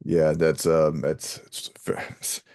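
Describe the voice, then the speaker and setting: Low-voiced man, casual and halting. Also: completely flat delivery, darkish tone, no room sound, studio quality. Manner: halting, repeats words as he thinks. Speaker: interview host. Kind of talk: casual chat.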